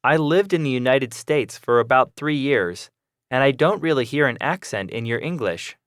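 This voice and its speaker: A man's voice: mid-range voice, expressive, moderate pace, a bit bright, no room sound, clean studio sound, lightly acted. A man playing an eager, polite student who asks plain questions in a scripted classroom dialogue. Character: young adult male student, eager, polite.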